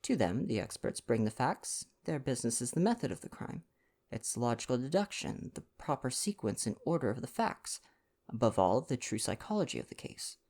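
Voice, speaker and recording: Guy doing a fussy vain belgian detective, proud n theatrical, bit of gravel, storybook reading. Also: mid-range voice, big pitch swings, normal speed, a little mellow. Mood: lecturing. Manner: pompous, emphatic, formal phrasing.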